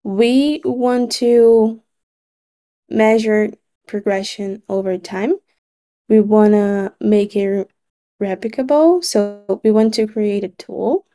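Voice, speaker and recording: A Brazilian-accented woman, Portuguese by birth tongue, facilitates a meeting over a video call. Her voice is mellow and soft-edged, and she speaks slowly and deliberately. She pauses often as she lays out the points. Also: medium pitch. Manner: measured, slightly halting with frequent pauses. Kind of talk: online meeting recap.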